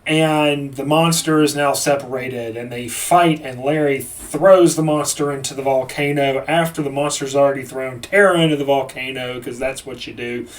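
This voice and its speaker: A man's voice: medium pitch, fairly even intonation, moderate pace, few pauses, recorded from a distance. A man giving a casual, rambling movie review with a sarcastic edge and lots of fillers and restarts.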